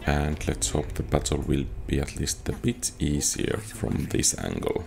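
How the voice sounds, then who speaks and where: A man's voice: rumbling low, lively intonation, a little slow, creaky, darkish tone. A man giving casual gameplay commentary, muttering as he thinks aloud. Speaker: gaming video host.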